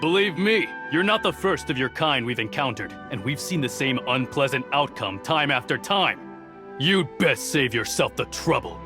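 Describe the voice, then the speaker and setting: A man's voice: medium pitch, very animated, moderate pace, over music, lightly acted. An actor playing a smug, menacing officer in a video game, threatening and condescending as he speaks. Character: smug, menacing male officer enforcing a decree. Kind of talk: animated video game voice acting.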